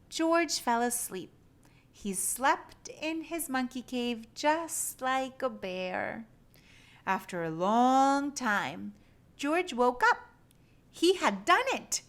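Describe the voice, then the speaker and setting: Very slow, animated woman reading to children. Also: fairly high pitch. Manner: animated, acts out animal sounds and exclamations. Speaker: children's storybook reader. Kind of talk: expressive read-aloud storytelling for young children.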